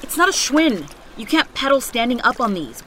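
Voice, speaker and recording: A woman's voice: on the high side, very animated, normal speed, over music. An actress playing an exasperated young girlfriend in an audio drama, scornful and accusing as she quarrels with her partner. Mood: angry, scornful. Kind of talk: naturalistic audio drama acting. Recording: noisy drama scene with clicks and water.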